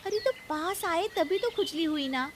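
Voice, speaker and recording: A woman plays a cheeky young daughter-in-law from a children's cartoon, in a very high voice. She nags and pleads insistently and is quick to retort. Birds and clear background noise sit behind her. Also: expressive. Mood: mock concern. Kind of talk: acted children's cartoon story.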